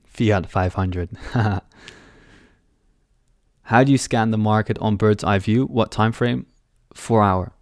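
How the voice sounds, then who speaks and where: A young man reads out viewers' questions and answers them in a loose, offhand way. His voice sits fairly low and comes across smooth and clear. He is on a headset mic in a small room, and the sound is close and dry. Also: takes it slowly, pauses often, no echo. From born in London. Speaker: trading livestream host. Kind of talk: casual live-stream Q&A.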